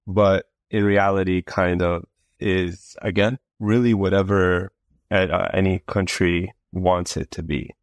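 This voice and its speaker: A man in his thirties, born in Canada, answering interview questions as a psychologist in a thoughtful, measured way with some fillers. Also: low-pitched, no room sound. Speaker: guest psychologist.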